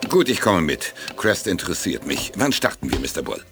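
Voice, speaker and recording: An actor playing an anxious, educated doctor swept into danger in a German science-fiction radio drama, with a creaky voice. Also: male voice, low-pitched, sing-song, fairly quick, over loud music. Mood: wary, reserved.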